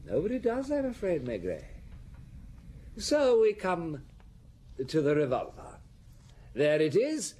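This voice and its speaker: A man acting a suave, sardonic aristocratic count in a radio drama, speaking with polite, ominous deliberation and a husky voice. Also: fairly high pitch, darkish tone, exaggerated voice. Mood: wry.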